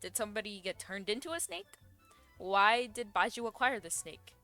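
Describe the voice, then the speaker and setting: A woman with a bright, forward voice comments casually on a game story. She is playful and sarcastic, firing off questions as she goes, with music behind her. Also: mid-range voice, expressive, moderate pace. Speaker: streamer reacting to a game story.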